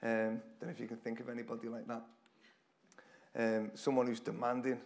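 A man preaches to his church with a hoarse, croaky voice. His style is casual and conversational, full of repeated phrases and small restarts, and his pitch sits in an ordinary middle range. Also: monotone, a little fast, darkish tone. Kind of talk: informal church sermon. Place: church hall with congregation.

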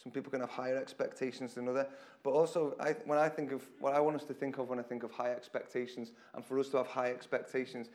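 Man, hoarse croaky voice, casual chatty sermon, repeats himself, church hall. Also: medium pitch, little pitch movement, quick pace, few pauses, a little mellow. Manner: conversational, self-deprecating, repeats phrases. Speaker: preacher.

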